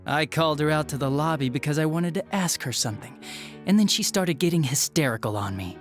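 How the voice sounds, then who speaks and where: A man acts out a young male detective gone bad in a video game. His voice sits a little high and swings widely as he sneers. He is smug, spiteful and flippant, playing everything up theatrically. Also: lively intonation, fairly quick, faint music behind, natural voice. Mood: wheedling resentment. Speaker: police detective. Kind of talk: dramatic video game voice acting.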